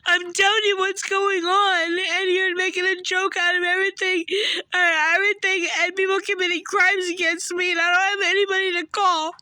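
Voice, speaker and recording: A woman's voice: very high-pitched, flat delivery, normal speed, pushed and bright, no echo. A distraught woman with a cold and a failing voice, rambling emotionally into her phone. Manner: distraught, rambling, repeats "or whatever". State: has a cold, losing her voice. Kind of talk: emotional phone video rant.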